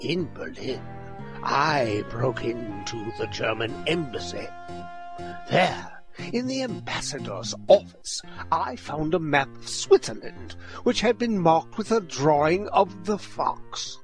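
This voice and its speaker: An actor playing a pompous male detective, telling his exploits theatrically and deliberately in a comic radio play. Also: fairly high pitch, sing-song, soft background music, heavily acted. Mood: deadpan recounting.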